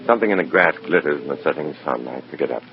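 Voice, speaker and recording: A very deep, weary undercover agent. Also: male voice, lively intonation, racing, a little hiss or hum. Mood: narrating grimly. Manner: brooding, clipped, hard-boiled delivery. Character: weary, conscience-torn American undercover agent posing as a communist. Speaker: narrator and lead actor. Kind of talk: mid-century radio drama acting, first-person narration. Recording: muffled old radio recording with music.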